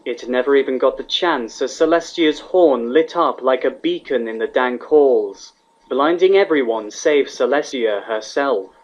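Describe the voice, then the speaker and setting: A man's voice: medium pitch, a little slow. A man reading fan fiction aloud as a scripted story narrator. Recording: dull, lo-fi sound.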